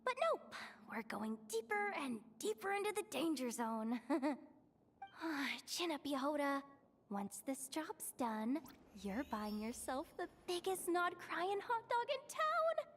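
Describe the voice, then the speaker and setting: A woman voicing an upbeat young adventurer in a video game, lively and teasing with sudden exclamations. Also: high-pitched, takes it slowly, slight background noise, exaggerated voice. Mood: joking.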